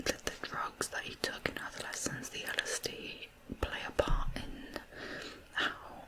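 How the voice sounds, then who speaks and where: A soft whisper with no voice in it, delivered very slowly. The host narrates true crime in ASMR style, reflective and a little hesitant as the thoughts come. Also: rough recording.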